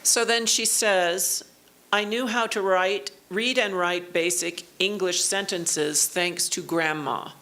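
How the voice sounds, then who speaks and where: A woman giving an academic keynote lecture in a university auditorium, speaking in measured phrases and pausing for an interpreter. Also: lowish voice, fairly even intonation, pushed and bright.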